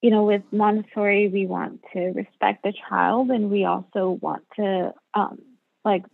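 Woman, native Spanish speaker, gravelly fry, thin phone-like line, dry sound, rambling casual guest. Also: mid-range voice, steady tone, a little slow, no echo. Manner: rambling, thinks aloud. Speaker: guest, mother. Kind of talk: casual interview chat.

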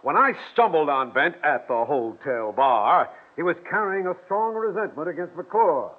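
An actor playing a blustery, sputtering crooked small-town mayor in an old-time radio drama. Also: male voice, on the high side, sing-song, normal speed. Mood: indignant, accusing. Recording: thin, phone-like old radio recording.

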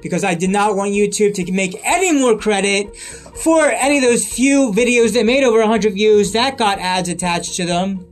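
A man's voice: high voice, moderate pace. A man giving a rambling solo update on his podcast and videos, often repeating and restarting his phrases. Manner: repetitive, restarts phrases, earnest.

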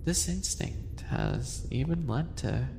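Sadistic cafe animal boy, acted by a man: measured, softly menacing roleplay delivery, very unhurried, heavy reverb. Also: mid-range voice, lively intonation, gravelly, music in the background. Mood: soft menace. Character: sinister animal-boy cafe maid, composed, sadistic experimenting cook. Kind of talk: roleplay ASMR acting. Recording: reverberant, noticeable background noise.

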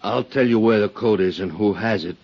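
A dull old radio recording carries a shifty informer. The voice is very deep and husky, the manner curt, guarded and stubborn, pure 1950s crime drama. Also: male voice, brisk. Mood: insistent. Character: shifty, stubborn male stool pigeon bargaining for money.

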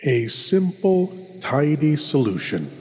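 Slow, husky male horror host. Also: a little high, big pitch swings. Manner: deliberate, spooky showman phrasing. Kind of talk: theatrical scripted narration.

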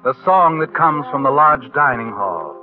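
A man's voice: mid-range voice, sing-song, normal speed, phone-quality sound, natural voice. Male narrator played by a man, dramatic and insinuating, 1940s radio style; swooping delivery, thin band-limited sound. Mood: smooth, knowing. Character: insinuating male narrator, needling the schemer as his conscience. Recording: band-limited vintage radio recording over music. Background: music.

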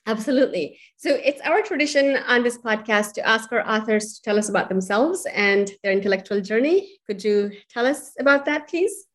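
A woman's voice: a little high, expressive, normal speed, few pauses. Podcast host lady, upbeat, gushing about the book. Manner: enthusiastic, effusive. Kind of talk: reading an introduction, then chatting.

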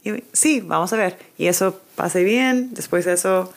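A woman's voice: on the low side, a little slow, vocal fry. A woman in her twenties, a native English speaker, chatting as a podcast guest in hesitant learner's Spanish with English words slipping in. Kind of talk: casual chat.

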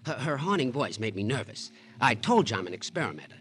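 An actor playing an arch, nosy American man who relishes scandal, with a husky, teasing delivery in a 1950s radio drama. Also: mid-range voice, very animated, quick pace, fairly bright tone, slight background noise. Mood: sly, amused. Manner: mannered, teasing, sly.

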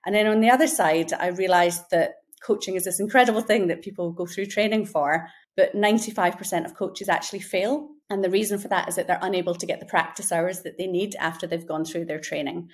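A woman in her forties with a slightly low voice speaks as a podcast guest. She is earnest and articulate as she explains her work. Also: steady tone, brisk. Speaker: guest, founder. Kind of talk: conversational interview answers.

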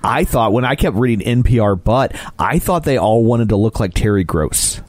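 Wry man podcaster with animated pitch. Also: moderate pace, no room sound. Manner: wry, sarcastic. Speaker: podcast co-host. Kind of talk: casual joking banter.

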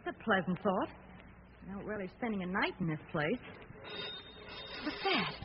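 An actress plays a wry, outspoken heroine of vintage radio mystery. Her medium-pitched voice is expressive and plucky. The old recording sounds dull, with clear background noise. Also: moderate pace, natural voice. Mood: frightened, wry. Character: plucky, wry, outspoken female companion of a sleuth. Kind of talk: old-time radio drama acting.

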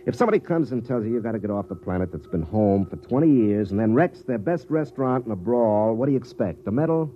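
A man's voice: fairly low pitch, expressive, fast talker, hardly any pauses, close to an everyday voice. An American man playing a cynical, hard-drinking space captain in a radio drama, with a wry, laconic, hard-boiled delivery. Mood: weary, sardonic. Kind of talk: 1950s radio drama acting with first-person narration. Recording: muffled, narrow-band old radio recording, music behind.

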